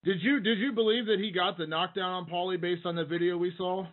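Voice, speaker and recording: Fast, higher-pitched man on phone. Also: steady tone. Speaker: sports reporter asking questions. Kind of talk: press question on a conference call. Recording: narrow phone line.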